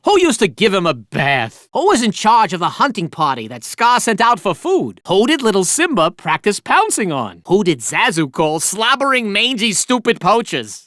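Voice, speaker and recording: A man's voice: sits high, fairly bright tone, no room sound, exaggerated voice. A cocky little meerkat hosts a video game quiz, cracking jokes and cackling at his own gags. His voice swoops and bounces all over the place. It is recorded dry and close in a studio. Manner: jokey, chatty, laughs at own jokes. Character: wisecracking male meerkat quiz host. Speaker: quiz host.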